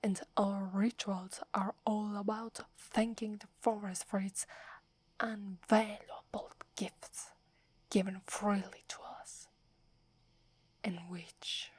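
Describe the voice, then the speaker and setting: A woman's voice: medium pitch, breathy edge, no echo. A woman with an Italian accent voicing a proud, wary female werewolf who guards a holy forest, speaking in an accusing whisper for an ASMR roleplay. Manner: scornful, accusing.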